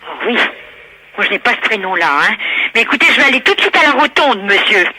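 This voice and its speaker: A woman's voice: a little high, animated, fast talker, sharp tone, slightly rough. A woman who works at a school, speaking formally and politely but insistently on a phone call. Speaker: school staff member answering phone. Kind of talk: phone conversation. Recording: voice over a telephone line.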